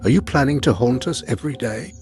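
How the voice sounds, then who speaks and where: A man's voice: mid-range voice, expressive, fast, a little mellow, lightly acted. A man voicing an insulted young friend of a groom in a folk tale, muttering indignantly. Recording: music bed behind the voice.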